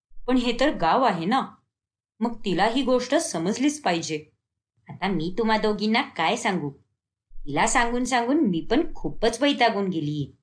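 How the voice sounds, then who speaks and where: A woman's voice: medium pitch, natural voice. A woman voicing disapproving, complaining older village women in a cartoon moral story. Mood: scandalized. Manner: complaining, scandalized. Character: gossiping, disapproving village women and exasperated elderly mother-in-law.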